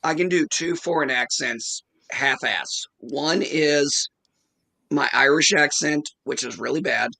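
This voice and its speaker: A man's voice: fairly high pitch, slow pace, creaky, pushed and bright. A man on a video call chatting casually, blunt and joking with some swearing. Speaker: stream guest. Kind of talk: casual chat.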